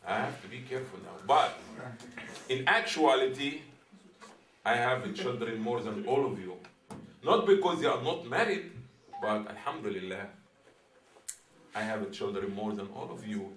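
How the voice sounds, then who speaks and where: A man's voice: mid-range voice, moderate pace, pauses often, a bit bright. Man preaching in an echoey hall. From Egypt. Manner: playful, teasing, asks the audience riddles. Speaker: imam giving a talk. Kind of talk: formal sermon opening, then casual talk with the audience. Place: hall with a live audience. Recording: echoey room, voice from far off.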